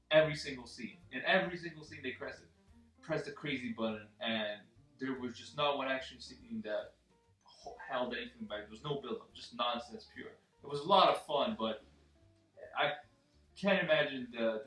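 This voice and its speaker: A man's voice: mid-range voice, fairly bright tone. Echoey, distant man discussing a movie. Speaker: panel member in a film discussion. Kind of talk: casual opinionated movie discussion. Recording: echoey room, off the mic, faint music.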